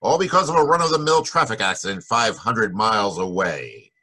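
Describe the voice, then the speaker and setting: An actor playing a hardboiled, wisecracking American private detective in a 1940s-style radio noir. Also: male voice, lowish voice, expressive, fairly quick, lightly acted. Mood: wry narration.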